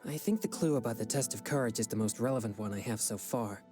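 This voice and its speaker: A man voices a young exorcist from a fantasy game. He speaks formally and courteously, earnest and quick to apologise, at a middling pitch. Music plays clearly behind him. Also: close to an everyday voice. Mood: earnest, polite. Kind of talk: video game voice acting.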